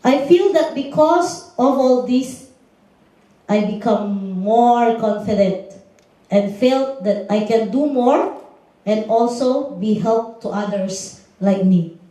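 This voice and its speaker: Woman, slow and deliberate testimony; distant, very echoey hall. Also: a little high, dark tone. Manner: slow and deliberate, simple non-native phrasing. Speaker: panelist, former domestic worker. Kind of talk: prepared personal testimony. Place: large lecture hall. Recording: echoey lecture hall, far from mic.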